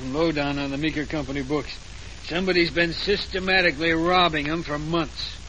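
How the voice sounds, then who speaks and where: An actor playing a brash, impatient, streetwise police sergeant in a blunt, slangy 1940s radio detective style. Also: male voice, on the high side, steady tone, fairly quick, bright, forceful tone, poor audio. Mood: brash, insistent. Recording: hissy old 1940s radio recording.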